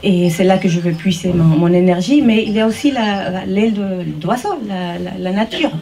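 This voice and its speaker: Woman artist, Mexican accent, soft-toned, earnest and halting public speech, recorded at a distance. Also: mid-range voice. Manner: halting, searching for words, earnest. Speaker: artist, paper sculptor. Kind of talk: informal speech at an exhibition opening. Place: exhibition opening, speaking to guests. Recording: distant microphone, noisy room ambience.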